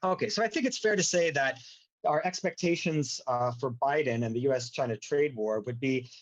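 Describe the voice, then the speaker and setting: A man giving an informal academic lecture as a university lecturer, with a wry, sarcastic bent and a halting, hesitant delivery. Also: medium pitch. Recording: dull lo-fi audio.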